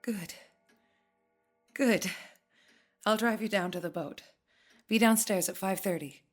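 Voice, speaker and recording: An actress playing a grave, knowing guesthouse landlady in an audio drama, speaking in a hushed, earnest, ominous manner. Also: mid-range voice, slow pace, faint music behind, lightly acted. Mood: relieved, brisk.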